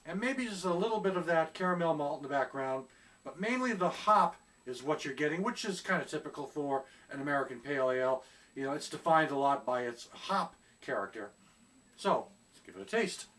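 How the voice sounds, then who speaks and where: Middle-aged guy chatting about a beer at home, kinda high voice, bit far from the mic, lots of ums. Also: animated, brisk, fairly bright tone. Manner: chatty, hesitant with many fillers. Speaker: beer reviewer. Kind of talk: casual review vlog. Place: small room at home, seated indoors.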